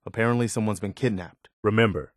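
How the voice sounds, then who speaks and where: An actor playing an earnest, loyal and idealistic militia officer in a video game, measured and plain-spoken. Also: male voice, on the low side, no room sound, studio quality, close to an everyday voice. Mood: earnest, hopeful. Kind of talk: video game character dialogue.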